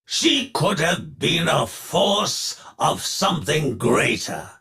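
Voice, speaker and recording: An actor playing a ruthless, grandiose older industrialist villain in a video game, speaking in a theatrical, pompous and declamatory way with a gravelly voice. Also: male voice, a little high, sing-song, pushed and bright, full character voice. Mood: sly, goading. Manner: pompous, declamatory, slow deliberate phrasing.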